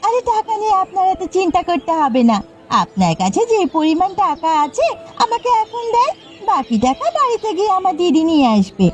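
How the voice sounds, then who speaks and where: Woman doing a high-pitched, pushy younger sister. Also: very animated, brisk. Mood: wheedling. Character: pushy, money-minded younger sister, village fish seller. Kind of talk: Bengali cartoon dialogue. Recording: slightly muffled audio with background music. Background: background music.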